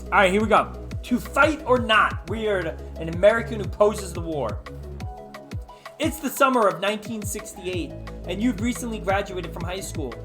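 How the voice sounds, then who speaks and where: A man with a fairly high voice reads a story aloud as host. He is informal and drops chatty asides to his listeners. Faint music plays behind him. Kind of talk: casual read-aloud of an interactive book.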